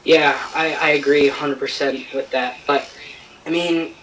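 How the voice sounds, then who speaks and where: A man's voice: a little high, fairly even intonation, unhurried, a bit bright, distant mic, slight background noise, poor audio. A man co-hosting in casual chat, agreeable and easygoing. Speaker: co-host.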